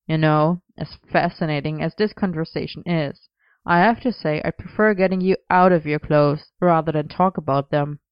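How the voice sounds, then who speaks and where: A woman's voice: low-pitched, fairly even intonation, normal speed, smooth, no echo, lo-fi sound, close to an everyday voice. A woman voicing a smug, amused young man, an affectionate and mocking boyfriend in an audiobook story.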